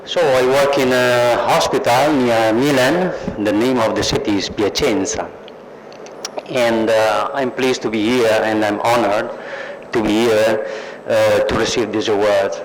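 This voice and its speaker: A man with an Italian accent makes an acceptance speech in a middle-pitched voice. He is slow and halting, often searching for the next word. The event recording is clearly noisy. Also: steady tone. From Italy. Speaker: award recipient, surgeon.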